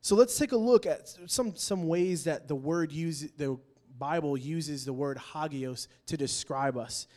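A young man preaching a casual, animated sermon, joking with his listeners as he explains a word from the Bible. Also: a little high, measured pace, few pauses. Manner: conversational and animated, jokes with the crowd.

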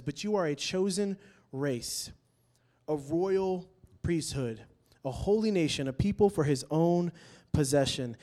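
A young man preaching a casual, animated sermon, joking with his listeners as he explains a word from the Bible. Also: a little high. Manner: conversational and animated, jokes with the crowd.

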